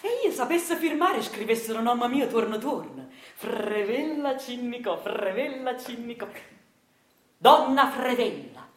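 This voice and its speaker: A woman's voice: fairly high pitch, expressive, unhurried, roomy sound, a little hiss or hum. An actress playing a fierce, domineering washerwoman matriarch with a Neapolitan accent, brash and mocking in a theatrical radio drama monologue. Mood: boastful, calling out. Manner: brash, scolding, mocking, breaking into laughter.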